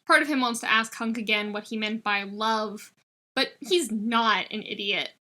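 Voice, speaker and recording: A woman reading a piece of fiction aloud as an expressive scripted narration. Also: medium pitch, moderate pace. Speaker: fan fiction reader.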